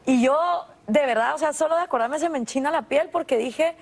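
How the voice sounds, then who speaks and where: A woman in her thirties with a Guatemalan Spanish accent, telling stories in an interview with animated voiced asides. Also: sits high, fairly quick. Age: 30s. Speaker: guest. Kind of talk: interview conversation.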